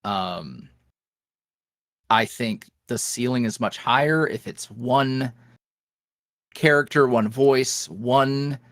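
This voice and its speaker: A man's voice: medium pitch, takes it slowly, long pauses, a bit bright, no echo, clean studio sound. A young man, a voice creator, chatting casually as a podcast guest, rambling with many restarts. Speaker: guest, ASMR roleplay creator. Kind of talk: casual interview chat. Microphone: headset.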